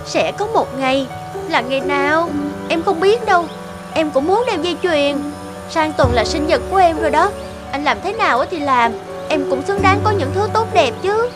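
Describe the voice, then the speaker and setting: A woman's voice: sits high, expressive, normal speed, music in the background. A woman voicing a pouty, envious, demanding young girlfriend in a cartoon. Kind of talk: cartoon dubbing.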